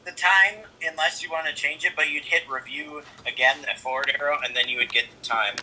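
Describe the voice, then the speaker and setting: A man with a fairly high, very sharp voice gives casual step-by-step help over a video call. He is patient and encouraging, and the call audio carries faint noise. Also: steady tone, brisk, rough recording. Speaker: helper guiding a setup on a video call. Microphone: video call heard through a phone speaker.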